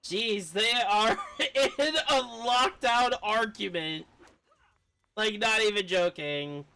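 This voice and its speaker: Bright-voiced woman casually commenting on gameplay. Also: mid-range voice, slow pace. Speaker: game streamer.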